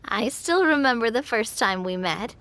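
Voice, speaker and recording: An actress playing a caring young lady in a video game, expressive and gently teasing with her friends. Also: a little high, slow pace, full character voice. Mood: fondly nostalgic. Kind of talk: scripted game dialogue.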